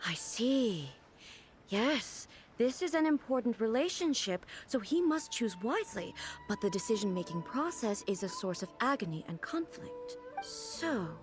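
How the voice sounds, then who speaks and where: An actress playing a dignified, composed noblewoman in a video game, speaking in a measured, formal, earnest way. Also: fairly high pitch, very animated, faint music behind. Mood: earnestly puzzled.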